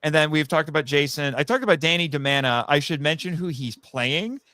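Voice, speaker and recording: A man chatting casually as a guest on a live podcast, telling stories in a rambling, animated way and acting out quoted remarks. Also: a little high, a little fast, no room sound. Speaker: guest, audio drama writer.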